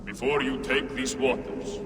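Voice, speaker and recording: An actor playing an ancient, wise well-keeper spirit in a video game, solemn, measured and oracular in delivery. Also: male voice, mid-range voice, steady tone, close to an everyday voice. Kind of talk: dramatic video game cutscene acting. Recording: distant, cavernous echo with noise behind.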